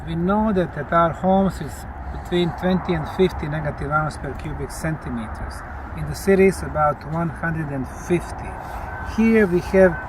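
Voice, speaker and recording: A man in his fifties presenting a semi-scripted field report outdoors, in a measured way. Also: on the high side. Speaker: lead investigator, presenter. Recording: outdoor recording, clearly noisy background.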